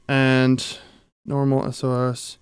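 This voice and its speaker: A man's voice: mid-range voice, monotone, slow, smooth, no echo, clean studio sound. A man chatting casually as he tinkers on a livestream, thinking aloud in a rambling, self-interrupting way with casual swearing. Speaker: streamer and audio tinkerer. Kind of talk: casual livestream chat while repairing gear.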